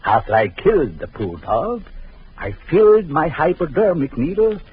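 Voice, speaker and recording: An actor playing a cruel, vengeful doctor in an old radio drama, taunting in a slow, sinister way. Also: male voice, fairly high pitch, very animated, husky, full character voice. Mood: menacing. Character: vengeful jealous male doctor, gloating and cruel. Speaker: villain. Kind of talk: 1940s radio acting. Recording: muffled old radio recording.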